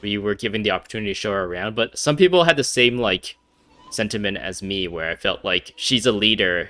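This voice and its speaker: A man's voice: fairly low pitch, bright, forceful tone, clear, resonant tone. A man giving casual gameplay commentary, rambling with many fillers and restarts. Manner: rambling, hesitant, frequent fillers and restarts, laughs often. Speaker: gaming commentator.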